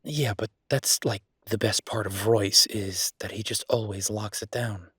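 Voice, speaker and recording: A man's voice: on the low side, steady tone, unhurried, pushed and bright, breathy edge, dry recording, studio quality, exaggerated voice. A man playing a frustrated, affectionate restaurateur boyfriend in an intimate roleplay audio drama, talking conversationally and hesitantly to his partner. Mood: venting, appreciative. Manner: conversational, hesitant, exasperated but fond.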